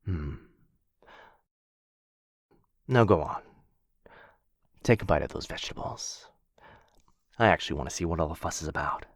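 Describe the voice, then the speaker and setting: Guy doing a low dragon voice, possessive n doting, coaxing asmr roleplay, theatrical, close dry sound. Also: animated, fairly quick, no room sound. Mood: tender, coaxing. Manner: theatrical, hesitant musing, coaxing endearments. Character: possessive male dragon captor, doting, faintly menacing, theatrical. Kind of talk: boyfriend ASMR fantasy roleplay.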